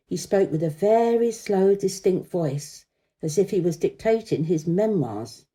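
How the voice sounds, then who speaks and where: A woman reads a children's story with expressive narration. Her tone is very soft and mellow, and her pitch moves in lively sweeps.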